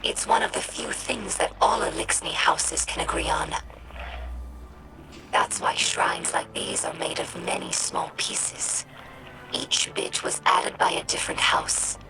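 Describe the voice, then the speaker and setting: An actress playing an earnest young female alien scribe, narrating lore for a game in a measured, reflective way. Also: low voice, vocal fry, background noise. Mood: reverent, wistful. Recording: music under the voice.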